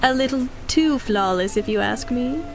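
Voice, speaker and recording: A woman's voice: a little high, lively intonation, moderate pace. Suave, seductive female spy over music. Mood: smooth, teasing. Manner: suave, teasing, self-assured. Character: cool, seductive female mercenary spy and poisoner. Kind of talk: 1930s-style radio drama acting. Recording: music under the voice.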